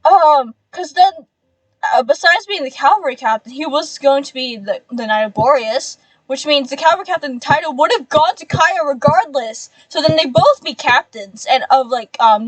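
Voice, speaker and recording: A woman streaming a game with a high voice and a dry, close sound. She comments casually and excitably, full of exclamations and offhand swearing. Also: no room sound, rough recording. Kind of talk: casual reaction commentary while playing a story game.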